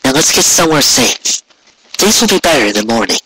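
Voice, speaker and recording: Man voicing a calm regal pony princess, noisy. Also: medium pitch. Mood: gentle, reassuring. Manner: gentle, reassuring, measured. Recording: clearly noisy amateur recording with clicks.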